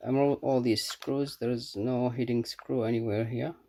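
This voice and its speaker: A man giving a casual, unscripted hands-on product review in a halting, matter-of-fact way. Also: mid-range voice, steady tone, dark tone.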